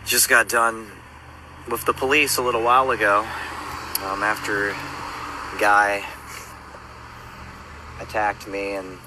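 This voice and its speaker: Tired hurting guy talking into his phone at night, street noise and cars all around, slow and halting. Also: lowish voice. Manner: subdued, weary, halting with fillers. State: in pain from an eye injury. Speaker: street preacher. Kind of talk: phone selfie video recap. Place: outdoors on a city street at night. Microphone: phone held at arm's length. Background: street traffic noise.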